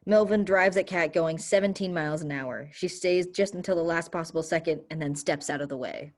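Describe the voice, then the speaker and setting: A woman narrates a staged play reading. Her voice is low for a woman, and she reads out scene settings and actions as scripted narration. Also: fairly quick. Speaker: stage directions reader.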